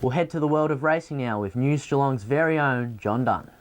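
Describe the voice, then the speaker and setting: A man's voice: mid-range voice, a little fast. An Australian man presenting local news from a script.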